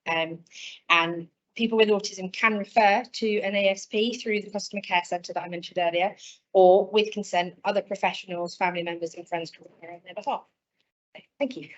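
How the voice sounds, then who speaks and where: A woman presenting her support practitioner role, brisk and informative, with frequent ums. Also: mid-range voice, fairly even intonation. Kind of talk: presentation at an online event. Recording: dull lo-fi sound.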